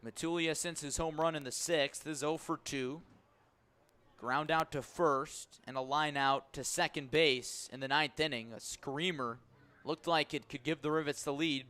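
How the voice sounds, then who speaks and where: Higher-pitched male baseball play-by-play announcer. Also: clean tone. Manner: measured narration rising to excited shouts on key plays. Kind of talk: live sports commentary.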